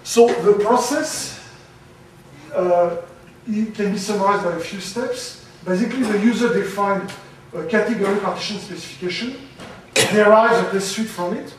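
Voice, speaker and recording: A man giving a conference talk, loud and halting, with many fillers. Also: sits high, slight background noise. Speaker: conference speaker. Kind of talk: academic presentation. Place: lecture room. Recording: very echoey, from far off.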